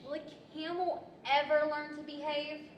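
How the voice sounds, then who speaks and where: A woman's voice: high-pitched, little pitch movement, normal speed, muffled recording, natural voice. A young woman playing a polite, curious girl in a radio theater style. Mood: polite, fond. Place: large library event room, live stage. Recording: distant, echoey live hall with faint noise.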